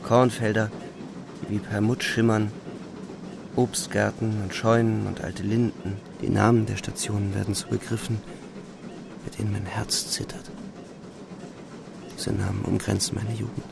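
An actor playing a weary, haunted young soldier, narrating a radio play in brooding, restrained short sentences. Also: male voice, fairly low pitch, little pitch movement, measured pace, gravelly, long pauses, background noise. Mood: brooding, tense. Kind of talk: literary radio play narration, inner monologue.

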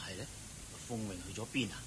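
A man's voice: fast, fairly bright tone, natural voice. An actor playing a meek, muddled old father in a radio drama, with a Hong Kong Cantonese accent and a hesitant, stammering delivery. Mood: concerned, meek. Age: older. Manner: hesitant, stammering, repeats himself. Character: muddled, meek old father begging from his married daughter. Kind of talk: Chinese radio drama acting. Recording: noisy radio drama recording with rumble.

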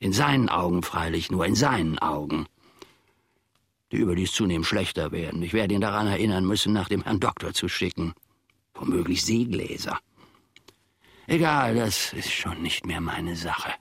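Pompous old manservant, radio play monologue; low, husky, grumbling, close and dry. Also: male voice, animated, normal speed, a bit bright, no echo, full character voice. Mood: brooding. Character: aggrieved, self-important old manservant musing about his master.

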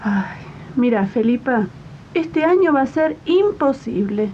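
Woman playing a shrill, bossy lady of the house. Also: expressive, a little slow, darkish tone. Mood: dismissive. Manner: scolding, impatient, imperious. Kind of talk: 1980s community radio drama acting. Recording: dull, noisy archival tape with rumble.

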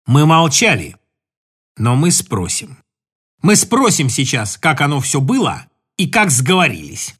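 A man doing a grim, slow old threatener. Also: mid-range voice, a bit bright, pitched below the performer's own voice. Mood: menacing.

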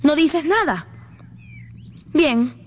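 An actress playing a proud, reproachful young student sweetheart in a radio drama. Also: female voice, high-pitched, expressive, drawn-out words, a little hiss or hum, full character voice. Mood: hurt, reproachful.

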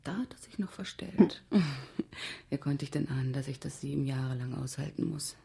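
Flirtatious unfaithful wife, coquettish, teasing, low-pitched, creaky, radio crime drama. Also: female voice, normal speed, dark tone, exaggerated voice. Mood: intimate, coquettish. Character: flirtatious, bored wife cheating on her dull husband.

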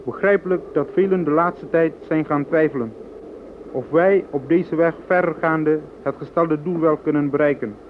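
Tinny noisy radio, man acting an earnest political spokesman, formal and measured. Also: a little high, lightly acted. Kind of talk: formal political speech, scripted. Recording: tinny radio, clear background noise.

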